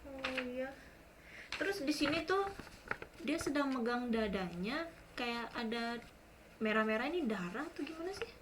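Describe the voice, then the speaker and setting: A woman's voice: fairly high pitch, expressive, moderate pace, long pauses, noisy background. A woman hosting a casual interview, curious and prompting with questions. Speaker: host, interviewer.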